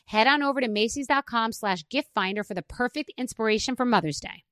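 A woman's voice: on the high side, a little fast, a bit bright. A woman reading an advertisement in a friendly, personal way. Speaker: ad reader. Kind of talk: read advertisement.

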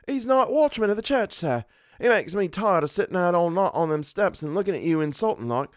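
A man's voice: high-pitched, very animated, brisk, no echo, clean studio sound, pitched above the performer's own voice. A man voicing a young Cockney servant in a story, deferential and colloquial, by turns sheepish and indignant. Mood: disdainful. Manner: colloquial, deferential, full of sirs.

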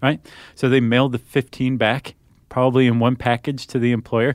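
Male American podcast co-host, higher-set voice, wry casual explainer, dry close recording. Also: little pitch movement, brisk, dry recording. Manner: wry, joking asides.

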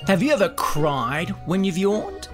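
A woman playing a silly, cheeky, excitable children's show host, chatty and theatrical in a scripted podcast. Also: medium pitch, measured pace, music in the background. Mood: playful, explaining. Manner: exclamatory, theatrical, chatty to young listeners.

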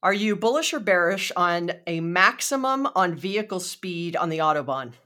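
Female podcast host, slightly low voice, chatty interviewer. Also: expressive, moderate pace, bright, forceful tone. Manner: chatty, many fillers and restarts.

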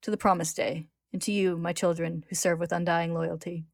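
Lady doing an old villain dad for a storybook, cold and calm, low creaky voice. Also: dry recording, clean studio sound. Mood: solemn. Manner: calm, measured, commanding.

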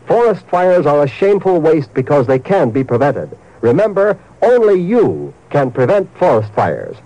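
A man's voice: fairly high pitch, warm tone, poor audio. Emphatic 1950s radio announcer, man. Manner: earnest, emphatic declamation. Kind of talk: 1950s public service announcement. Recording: old radio broadcast with hiss.

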